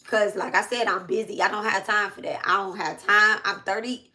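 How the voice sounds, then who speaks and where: A woman in her thirties with a bright, forceful voice at a medium pitch. She talks casually, like someone venting on a personal vlog. Her delivery is blunt and emphatic, with repeated phrases and casual swearing. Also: fairly even intonation, moderate pace. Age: 30s. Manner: blunt, emphatic, repetitive, profane. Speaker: spiritual reader and channel host.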